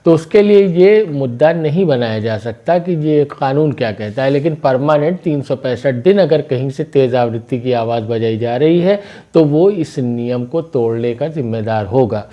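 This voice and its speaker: Male local news presenter, soft-edged mellow tone, mid pitch, explains step by step conversationally. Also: lively intonation, moderate pace, dull audio.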